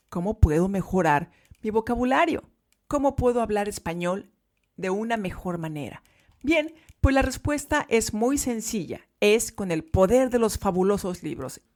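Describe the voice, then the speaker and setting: A woman teaching Spanish to learners in a podcast lesson, speaking clearly and emphatically. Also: mid-range voice, animated, a little slow, a little mellow.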